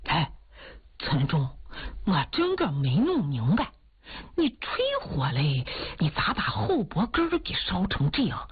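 A woman's voice: low-pitched, huge pitch swings, measured pace, breathy edge, exaggerated voice. A woman voicing an older male opera performer asking puzzled questions. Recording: tinny phone-like sound, faint music.

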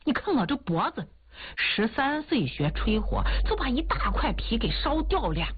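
A woman's voice: a little high, sing-song, normal speed, a little hiss or hum, pitched above the performer's own voice. A woman voicing a blunt old male opera teacher who scolds and retorts. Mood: scolding. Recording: tinny phone-like sound, faint music.

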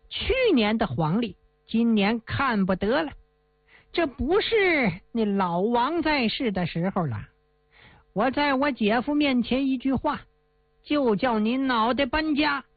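A woman's voice: on the high side, no echo. A woman voicing an arrogant, jeering male officer who mocks and threatens. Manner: mocking, jeering, cackling. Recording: dry, tinny phone-like sound.

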